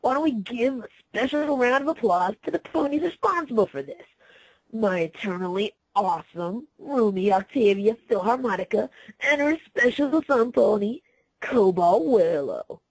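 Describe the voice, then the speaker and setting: Girl doing a show-off lady pony dj for a story, raspy n high, drags out her taunts. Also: expressive, darkish tone, no echo, poor audio. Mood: gleeful, announcing. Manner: taunting, drawn-out, exuberant calls. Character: cocky, teasing young female pony DJ, gleeful show-off.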